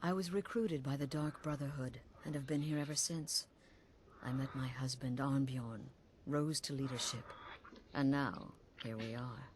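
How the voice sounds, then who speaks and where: Cast: a cold female assassin chief, calm menacing drawl, very low voice, faint hiss behind. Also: a little slow. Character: cold, self-assured female assassin guild leader. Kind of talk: video game dialogue.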